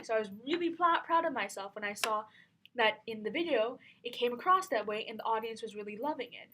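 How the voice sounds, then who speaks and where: A teenage girl hosting her own vlog, chatting in a bubbly, animated way about what she has learned. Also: a little hiss or hum. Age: teens. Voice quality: low-sounding voice. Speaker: vlogger. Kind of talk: casual vlog talk.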